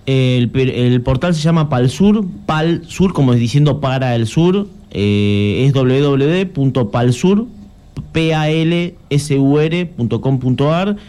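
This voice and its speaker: A man's voice: medium pitch, animated, mellow. Earnest Argentine male journalist. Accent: Argentine Spanish. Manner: earnest, explanatory, halting with restarts. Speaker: journalist guest. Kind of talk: conversational interview.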